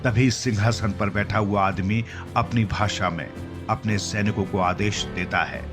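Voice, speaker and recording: A man's voice: lowish voice, fairly even intonation, a little slow, fairly bright tone. A man narrating a scripted fantasy story for children as its storyteller. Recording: clear music bed under the voice. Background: background music.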